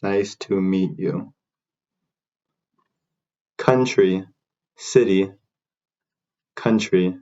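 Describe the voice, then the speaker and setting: A man teaching beginner English, repeating short phrases slowly for learners to copy. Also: sits low, warm tone, dull audio. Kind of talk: slow repeat-after-me drill.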